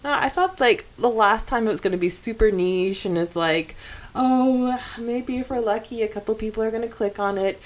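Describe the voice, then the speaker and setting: A woman's voice: on the high side, normal speed. Giggly woman co-hosting a casual podcast. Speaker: podcast co-host. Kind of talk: casual fan podcast chat.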